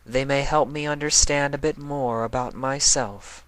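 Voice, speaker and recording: A weary pony princess, acted out by a man in a story reading, lifted in pitch. The delivery is introspective and dreary, with formal, brooding phrasing and a bright, close, dry sound. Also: fairly even intonation, moderate pace, no echo, close to an everyday voice. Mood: wistful, self-reproaching. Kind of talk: dramatised fan fiction reading.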